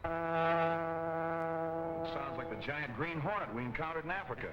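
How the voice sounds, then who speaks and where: An actor playing a confident playboy newspaper publisher in a 1940 film serial, breezy, clipped and self-assured. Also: male voice, a little high, animated, normal speed, music in the background, close to an everyday voice. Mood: confident, friendly.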